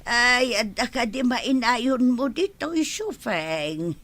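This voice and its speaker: A woman's voice: fairly high pitch, animated, slow pace, fairly bright tone, no room sound, full character voice. A woman acting an exasperated village woman in an Ilocano radio family comedy, with an Ilocano accent. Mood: plaintive.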